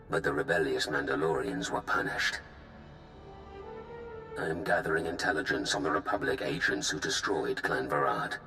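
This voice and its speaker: Extremely deep, menacing Sith Lord. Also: male voice, sharp tone, exaggerated voice. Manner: stern, formal. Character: commanding, cold Sith Lord, menacing military villain. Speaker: villain character in a video game. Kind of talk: formal dramatic military report. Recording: echoing voice over background music.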